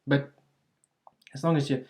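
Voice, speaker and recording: Mid-pitched voice, an actor as eccentric potion seller, clumsy, cheerful, absent-minded, chatty, ASMR fantasy roleplay. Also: level tone, measured pace, darkish tone, close to an everyday voice. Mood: musing, flustered. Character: clumsy, scatterbrained, cheerfully eccentric alchemist shopkeeper selling potions. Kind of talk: ASMR roleplay, scripted character acting.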